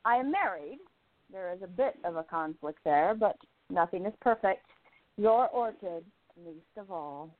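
A woman's voice: fairly low pitch, expressive, measured pace. A woman playing an independent young newspaperwoman of the 1920s in a radio play, with an earnest, emphatic delivery. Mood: reflective. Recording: tinny phone-like line, roomy echo.

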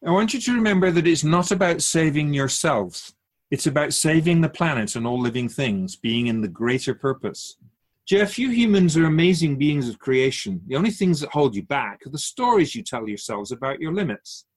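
A man playing a wise, otherworldly spirit in a radio drama, patient and gently teasing, speaking in a measured, formal way with musing questions. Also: fairly high pitch, lively intonation. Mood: solemn, earnest.

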